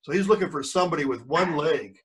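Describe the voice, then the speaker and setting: High-pitched older man reading aloud, distant mic. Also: animated, fairly bright tone. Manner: pauses to quiz the listeners. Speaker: grandfather reading to young children. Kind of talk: storybook read-aloud with chatty asides.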